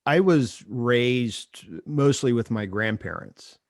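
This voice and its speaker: A man's voice: mid-range voice, expressive, slow pace, no echo. Man hosting a podcast, dry close sound. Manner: agreeable, brief backchannels. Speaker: podcast host. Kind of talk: casual interview conversation.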